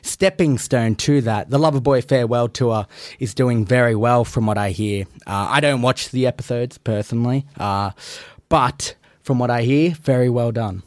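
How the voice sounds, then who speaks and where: A man's voice: mid-range voice, moderate pace, dry recording, studio quality. A man, a wrestler guest on a podcast, trading cocky, brash trash talk. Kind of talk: boastful in-character banter.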